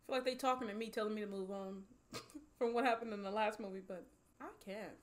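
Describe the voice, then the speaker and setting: A young American woman giving a casual, emotional movie reaction in an expressive, slangy way, full of exclamations. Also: medium pitch, fast talker. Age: young adult. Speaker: movie reaction video host. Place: small home streaming room, indoors. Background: film soundtrack and dialogue.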